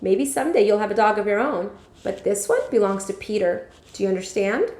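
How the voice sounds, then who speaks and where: A woman reading as a kindly, reasonable father talking to his son in a measured, explanatory way. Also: mid-range voice, a little mellow, close to an everyday voice. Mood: gentle, firm. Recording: echoey room.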